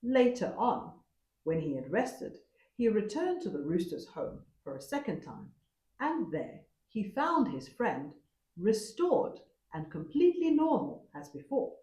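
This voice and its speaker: Slow, animated woman storyteller. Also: mid-range voice, roomy sound, poor audio. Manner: dramatic, deliberate. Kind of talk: expressive folktale narration.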